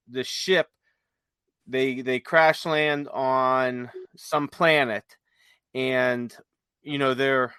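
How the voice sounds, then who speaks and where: A man podcast host talking casually, telling stories in a halting, conversational way. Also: medium pitch, pushed and bright, clean tone, no echo. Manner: halting, conversational, retells stories with quoted dialogue. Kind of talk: casual chat, rambling storytelling.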